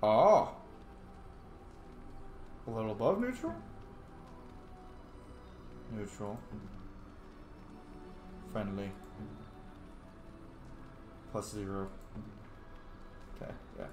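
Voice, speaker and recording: A man's voice: on the low side, huge pitch swings, very slow, pauses often, over music. A man hosting a casual video game roleplay playthrough, chatting to himself as he plays. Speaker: roleplaying gamer, host of the playthrough. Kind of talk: casual game playthrough with in-character line reading. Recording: echoey room with faint noise.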